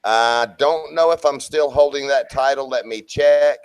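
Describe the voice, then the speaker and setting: Man, casual online chat, boastful, halting restarts, dry close sound. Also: mid-range voice, clear, resonant tone, no echo, low-quality recording. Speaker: livestream chat participant.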